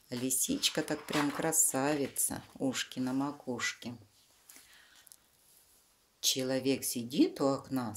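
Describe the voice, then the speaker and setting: A woman reading a children's story aloud, explaining things simply to young listeners with fond diminutives. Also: low-pitched. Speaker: children's book reader, channel host.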